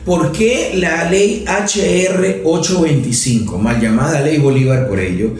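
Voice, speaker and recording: A man's voice: fairly high pitch, measured pace, darkish tone. A man giving a conversational political talk, fluent but full of restarts, fillers and rhetorical questions. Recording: very echoey room.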